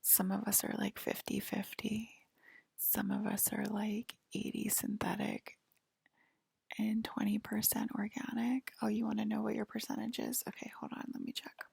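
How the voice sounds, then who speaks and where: Breathy, creaky woman whispering ASMR. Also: mid-range voice, completely flat delivery, normal speed, darkish tone, no echo. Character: calm alien abductor guiding a patient aboard a UFO. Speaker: ASMR role-play host. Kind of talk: whispered ASMR role-play, improvised talk to the listener.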